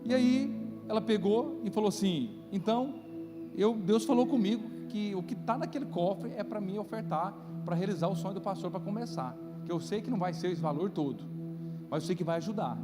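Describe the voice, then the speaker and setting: A man's voice: high voice, fairly quick. A man with a Brazilian accent preaching to a congregation as a pastor, in a conversational way that checks in with listeners through rhetorical questions. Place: church, live-streamed service. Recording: reverberant hall, loud music underneath. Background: loud music.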